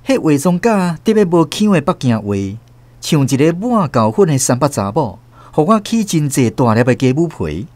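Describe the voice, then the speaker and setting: A Taiwanese man narrating a scripted radio drama in an expressive storytelling manner. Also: fairly high pitch, moderate pace, no room sound. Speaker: narrator and host. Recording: noisy background sound effects.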